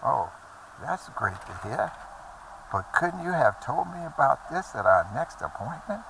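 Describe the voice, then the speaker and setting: An actor playing a concerned male therapist in a horror drama, earnest and measured, with a husky voice. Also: mid-range voice, big pitch swings, bright, forceful tone, a little hiss or hum, heavily acted, rough recording. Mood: puzzled concern. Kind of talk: horror audio drama acting.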